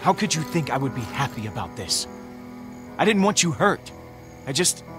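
An actor playing an earnest, easygoing young space adventurer in a video game, casual and conciliatory. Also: male voice, medium pitch, big pitch swings, normal speed, natural voice. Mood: concerned, consoling. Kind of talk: naturalistic video game acting. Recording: loud music behind the voice.

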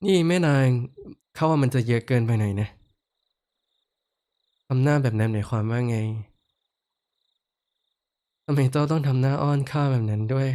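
A kindly horned giant lord, voiced by a man in a roleplay audio drama, talking straight to the listener. His voice sits in an ordinary middle pitch, mellow and warm, never loud. He soothes gently and slips into light, playful teasing. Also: normal speed, long pauses, smooth, natural voice. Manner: soft-spoken, comforting, playfully teasing.

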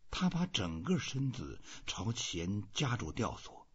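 Deep, husky male audiobook reader. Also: very animated, slow. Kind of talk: scripted literary narration. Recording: dull, muffled lo-fi audio.

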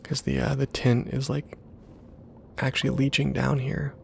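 A man giving ASMR gameplay commentary in a soft half-whisper, musing casually to himself in a hushed way. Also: mid-range voice, flat delivery, measured pace, creaky, slight background noise. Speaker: video game streamer.

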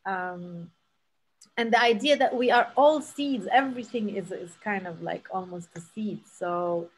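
A woman's voice: medium pitch, measured pace, a little hiss or hum, rough recording. Woman from Palestine in her thirties, earnest storyteller, speaking over a laptop mic on a video call. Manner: earnest, with many fillers and restarts. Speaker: seed saver giving a talk. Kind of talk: storytelling talk. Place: home room on a video call. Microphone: laptop webcam mic on a video call.

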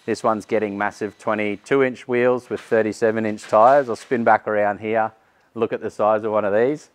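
A man's voice: on the low side, fairly even intonation, normal speed. A man, giving a casual walkaround tour of a vehicle workshop with frequent fillers. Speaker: workshop host. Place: large vehicle workshop indoors.

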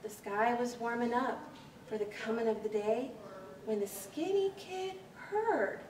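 A woman in her thirties reading a rhyming children's story aloud with an expressive, rhythmic storytelling delivery. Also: a little high. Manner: animated, deliberate, rhythmic delivery of rhyming verse. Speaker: librarian reading a picture book aloud. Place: small library children's corner, indoors. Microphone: camera a few metres away. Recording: echoey room, distant mic, faint hiss.

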